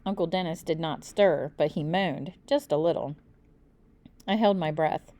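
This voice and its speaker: A woman reading a children's book aloud expressively, with deliberate, dramatic emphasis. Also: deep voice, warm tone. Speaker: storybook reader.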